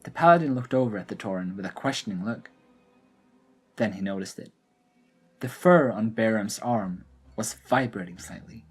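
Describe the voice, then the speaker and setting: A man narrating a scripted fantasy audio drama in a deliberate, dramatic storytelling manner. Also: mid-range voice, a little mellow, slightly rough. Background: background music.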